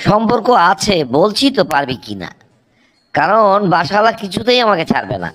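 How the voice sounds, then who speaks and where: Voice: medium pitch, big pitch swings, fairly quick, a bit bright, a faint crowd. A voice actor doing an earnest, exasperated young man. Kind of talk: cartoon comedy dubbing.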